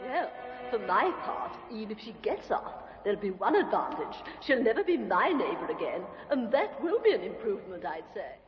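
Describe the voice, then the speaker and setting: An actress playing a catty neighbor making snide remarks. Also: female voice, mid-range voice, sing-song, moderate pace, lightly acted. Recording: echoey, dull old radio recording with music.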